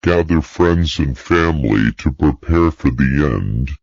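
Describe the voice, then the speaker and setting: Extremely deep, slow man announcing. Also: lively intonation, darkish tone, dry recording. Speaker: emergency alert announcer. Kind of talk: scripted doomsday broadcast announcement. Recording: dull lo-fi audio.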